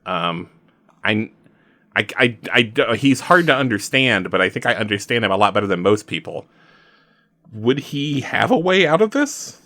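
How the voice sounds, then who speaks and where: Male roleplaying gamer, bright and very animated, casually working things out aloud. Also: lowish voice, normal speed, dry recording, studio quality. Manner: animated, hesitant thinking aloud. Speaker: player in a tabletop roleplaying game. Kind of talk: casual improvised game-table chat.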